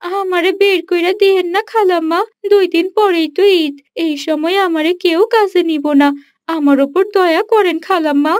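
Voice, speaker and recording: A woman's voice: extremely high pitch, steady tone, moderate pace, no echo, clean studio sound, pitched above the performer's own voice. A woman voicing a poor, dutiful village girl in a cartoon, plaintive and humble, with a rural Bangladeshi accent. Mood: humble pleading.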